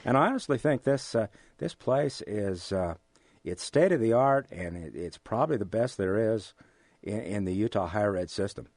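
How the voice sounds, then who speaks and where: An American man being interviewed, speaking in a halting, measured way with formal, academic wording. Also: fairly low pitch, expressive, a little mellow, no room sound, studio quality. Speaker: interview guest, amateur radio drama actor. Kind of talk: relaxed interview conversation.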